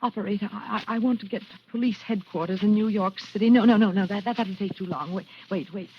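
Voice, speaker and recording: A woman's voice: mid-range voice, fast talker, slightly rough. An actress playing a frightened but determined young woman in an old radio thriller, stammering nervously with breathless urgency. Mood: panicky, urgent. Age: young adult. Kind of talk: 1940s radio thriller acting. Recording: muffled, hissy old radio recording.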